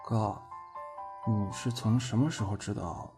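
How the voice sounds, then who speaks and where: A man's voice: on the low side, fairly even intonation, unhurried. Male actor as bashful young boyfriend, boyish, earnest, chatty, self-deprecating, audio drama, music underneath. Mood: shy. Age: young adult. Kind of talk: Chinese audio drama acting. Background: background music.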